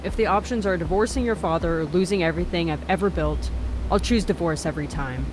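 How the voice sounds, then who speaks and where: Game mother role, woman actor: pragmatic, dismissive, controlling executive; lowish register, noticeable background noise. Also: fast, lightly acted. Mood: firm, defensive. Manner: composed, firm, dismissive.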